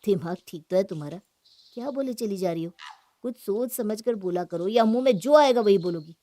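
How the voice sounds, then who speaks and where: A woman's voice: mid-range voice, lively intonation, a little fast, dark tone, close to an everyday voice. A woman acting an exasperated young husband. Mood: stern, annoyed. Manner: exasperated, curt. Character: irritated young husband, weary and scolding. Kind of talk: Hindi moral-story cartoon acting.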